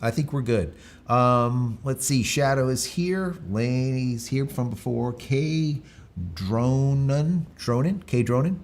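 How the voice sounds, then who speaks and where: A man's voice: medium pitch, takes it slowly, a little mellow. A man hosting a live stream, chatting casually, rambling, with many fillers and restarts. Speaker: livestream host.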